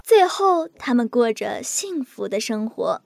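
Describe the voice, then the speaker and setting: A woman narrating bedtime fairy tales for young children in a scripted, expressive way. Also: fairly high pitch, measured pace, creaky, a little hiss or hum. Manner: lively, expressive. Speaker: storyteller.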